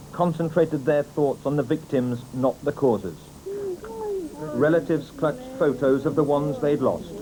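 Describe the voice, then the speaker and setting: A man with a British accent narrating a scripted news report in a sober, measured manner. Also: fairly high pitch, poor audio. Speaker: news correspondent.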